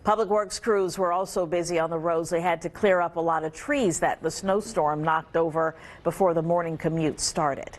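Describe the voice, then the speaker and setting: Woman news anchor, lower-than-average register, scripted broadcast delivery. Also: fairly even intonation, normal speed, few pauses.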